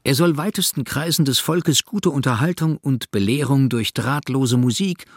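A man's voice: medium pitch, normal speed, no echo, studio quality. A man reading historical quotations from a script.

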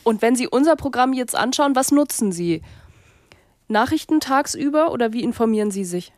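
A woman's voice: fairly high pitch, expressive, normal speed, a little mellow, no echo, studio quality. A woman hosting a radio phone-in, asking polite, probing questions. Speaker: radio host. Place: radio studio.

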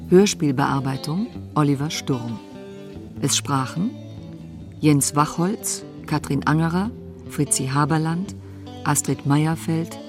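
An announcer reading scripted radio play credits in a measured way, name by name. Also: medium pitch. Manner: measured, listing names one by one. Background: plucked guitar music.

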